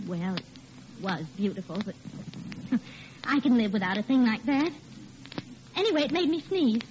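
An actress playing a patient, sentimental wife and mother in a 1940s radio comedy, with an American accent and an expressive manner. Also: fairly high pitch, close to an everyday voice. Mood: wistful. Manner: expressive, unhurried, emotional. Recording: muffled, noisy old radio recording.

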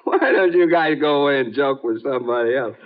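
A man's voice: mid-range voice, animated, a little fast, natural voice. An actor with an American accent playing a tipsy, petulant, desperate male artist in an old radio drama. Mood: boozy, irritable. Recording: muffled vintage radio broadcast.

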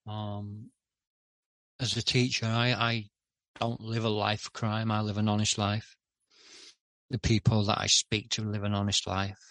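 A middle-aged man with a lowish voice talks openly as a guest on a podcast. He hesitates, fills gaps with "um" and restarts his sentences. The recording is dry and close. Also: fairly bright tone, no room sound. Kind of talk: candid interview talk.

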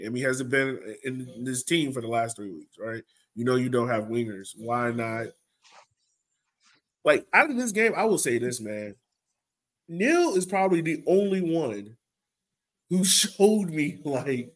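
A man's voice: mid-range voice, a bit bright. A man co-hosting a sports fan podcast, talking casually and informally in an exasperated, searching way. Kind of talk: casual soccer fan discussion.

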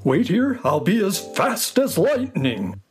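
A man plays an excitable, kindly space horse for a children's audio story. The delivery swoops and lingers slowly on words in a folksy, theatrical way, with music underneath. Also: high-pitched, exaggerated voice. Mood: excited. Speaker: voice actor.

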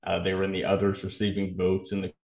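A man's voice: low-pitched, monotone, quick pace, vocal fry, darkish tone. A man co-hosting a sports podcast, giving casual, analytical commentary with careful qualifications.

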